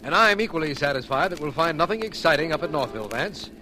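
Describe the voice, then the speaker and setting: An actor playing a brisk, fretful, skeptical district attorney in a 1940s radio drama. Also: male voice, on the high side, expressive, pushed and bright. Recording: noisy old 1940s radio recording.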